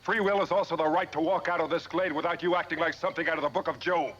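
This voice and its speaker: An actor playing a brisk, defiant human space explorer in television science fiction, matter-of-fact in manner. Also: male voice, fairly high pitch, steady tone, lo-fi sound, heavy background noise, natural voice. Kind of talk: 1970s TV science fiction acting.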